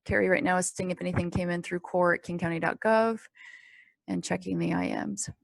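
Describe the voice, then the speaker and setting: A woman presenting a training webinar in an informal, explanatory way, with a halting, pause-filled delivery. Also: fairly low pitch, fairly even intonation, creaky, no echo. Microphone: conference call or webinar microphone.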